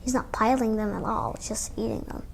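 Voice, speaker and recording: High-pitched female storytime reader. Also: sing-song, normal speed, gravelly, a little hiss or hum. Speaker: children's storytime reader and host. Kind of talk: read-aloud storytelling with casual asides.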